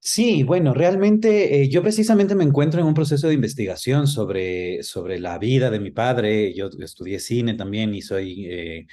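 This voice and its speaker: A man's voice: medium pitch, normal speed, few pauses, warm tone. A middle-aged man answering interview questions thoughtfully, with hesitations and little checking tags. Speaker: interview guest, screenwriter and filmmaker. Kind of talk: reflective conversational interview.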